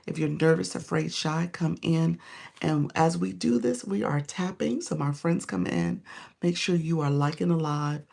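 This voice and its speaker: A hoarse, tired woman coaching on a phone live stream, greeting viewers by name in casual chat between her points. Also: sits low, normal speed, darkish tone.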